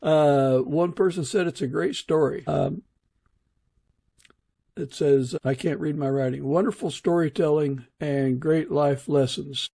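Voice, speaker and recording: A man hosting a podcast, interviewing casually in an unhurried, halting way. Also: fairly high pitch, creaky, warm tone, slightly rough, no room sound, clean studio sound. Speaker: podcast host.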